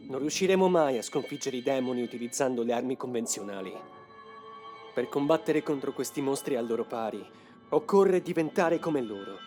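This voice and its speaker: A man's voice: mid-range voice, darkish tone, close to an everyday voice. A man voicing a grave, determined young man in a motion comic dub, with a solemn, explanatory delivery. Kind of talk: dramatic motion comic dubbing. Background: music.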